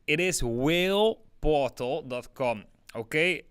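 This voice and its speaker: A man's voice: on the high side, lively intonation, slow pace, a bit bright, clean tone, dry recording, clean studio sound. A young man with a Dutch accent hosting a casual live crypto stream, talking through charts with lots of filler words. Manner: chatty, many fillers like "like" and "yeah". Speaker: crypto livestream host.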